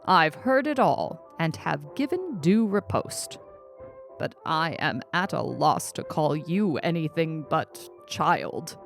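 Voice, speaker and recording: A woman plays a bemused old man of letters, wry and grandiose, in a storybook reading. The phrasing is ornate and unhurried, the intonation expressive, with soft music behind. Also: low-pitched, gravelly, exaggerated voice. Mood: grandly musing. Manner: ornate, formal, deliberate phrasing. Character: old male American author, wry, pompous, bemused.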